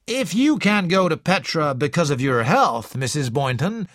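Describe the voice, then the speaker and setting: A courteous middle-aged man speaks in a slow, pleasant manner, as a male narrator puts him on. His voice sits noticeably higher than the narrator's own and moves through a lively melody. It sounds dry and close. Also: no echo. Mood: polite, friendly. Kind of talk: audiobook character reading.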